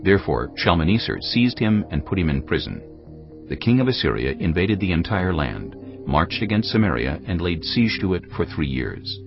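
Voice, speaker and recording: Male narrator, extremely deep voice, animated intonation, grave scripture reading, dull lo-fi sound, cinematic music behind. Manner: measured, grave storytelling.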